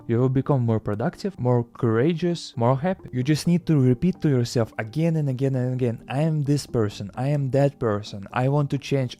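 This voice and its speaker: Young russian-accented guy, mellow low-key voice, earnest self-help vlog talk, soft music behind. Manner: earnest, repetitive, slightly non-native phrasing. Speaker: self-improvement YouTuber. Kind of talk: motivational talk to camera.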